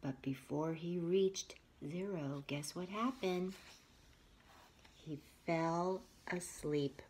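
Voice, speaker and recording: A woman's voice: lowish voice, a little hiss or hum. A woman teacher reading a children's picture book aloud expressively, stepping out of the story to talk to young listeners. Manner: expressive, pausing to ask listeners questions.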